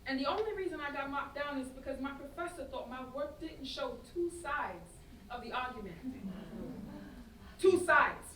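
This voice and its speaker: A woman acting a sardonic, vexed young student in a staged play reading, animated and full of slang. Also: sits high, normal speed. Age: young adult. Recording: roomy, distant stage recording with noise.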